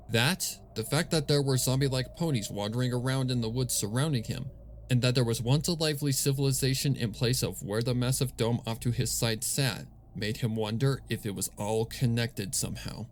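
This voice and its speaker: A man narrating scripted fan fiction as a storyteller, measured and solemn in his delivery. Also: medium pitch, slight background noise.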